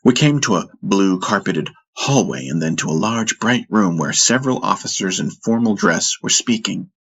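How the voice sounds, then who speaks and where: Male audiobook narrator, very deep pitch, roughened husky tone, measured dramatic delivery. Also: animated, a bit bright. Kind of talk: first-person scripted fiction narration.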